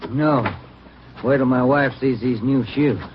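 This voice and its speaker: An actor playing a weary detective partner who grumbles and cracks dry jokes in a 1950s radio drama. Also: male voice, medium pitch, fairly even intonation, a little slow, noisy background, lightly acted. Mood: grumbling. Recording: muffled vintage radio broadcast.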